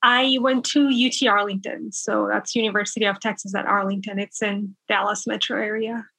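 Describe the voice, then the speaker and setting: Young woman from bangladesh, nurse guest, chatty with lots of ums, bright voice, dry close sound. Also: mid-range voice, fairly even intonation, moderate pace, gravelly, no room sound, rough recording. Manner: chatty, laughs often, many fillers. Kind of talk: casual interview chat.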